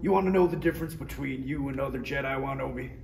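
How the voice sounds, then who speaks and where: A man's voice: medium pitch, fast, vocal fry, a little mellow, natural voice. A man playing an easygoing, fatherly old cook with a folksy, reassuring manner, reading from a parody script. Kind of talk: live table read of a parody script. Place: room at a table read. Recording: roomy distant recording with faint noise.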